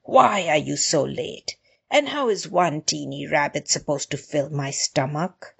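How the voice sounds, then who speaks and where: A woman's voice: low voice, unhurried, vocal fry, fairly bright tone, breathy edge, heavily acted. A woman voicing a proud, hot-tempered, greedy lion king with an imperious, demanding manner in a storybook tale. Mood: angry.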